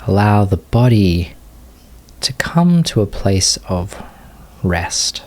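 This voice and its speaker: Very slow, low-voiced man guiding meditation. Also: very animated, a little mellow, slight background noise. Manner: unhurried, with long pauses between short phrases. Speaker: meditation teacher. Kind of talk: guided meditation, unscripted gentle instruction.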